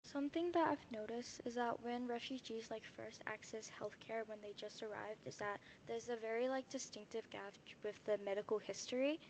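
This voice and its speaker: A woman's voice: a little high, moderate pace, few pauses, a little hiss or hum, low-quality recording. A young woman speaking on a panel, casual and plain, often using "like" as a filler. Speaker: community panelist. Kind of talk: panel talk.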